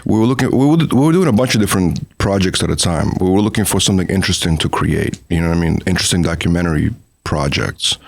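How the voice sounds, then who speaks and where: A middle-aged man with a very low voice speaks as a guest on a podcast. He is talkative and chatty, with lots of "you know what I mean." The sound is dry and close-miked. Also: fast talker, vocal fry, dry recording. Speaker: documentary filmmaker, guest. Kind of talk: casual interview conversation.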